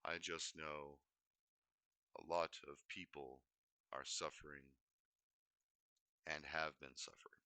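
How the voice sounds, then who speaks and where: A man's voice: a bass voice, fairly even intonation, slow, pauses often, sharp tone, no room sound. A man livestreaming in a rambling, unscripted way, often hesitating, digressing and correcting himself mid-thought. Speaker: livestream host.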